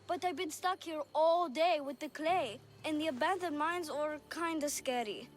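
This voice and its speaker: A woman's voice: sits high, moderate pace, natural voice. An actress playing an innocent, lonely little girl in a video game, earnest and playful. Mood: earnest, sweet. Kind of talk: video game cutscene acting.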